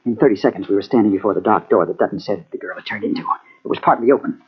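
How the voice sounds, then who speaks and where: A man's voice: on the low side, racing, breathy edge. An actor playing an obsessed male investigator who narrates his own story in a dramatic, hardboiled old-time radio mystery style. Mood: brooding narration. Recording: dull, muffled old radio tape.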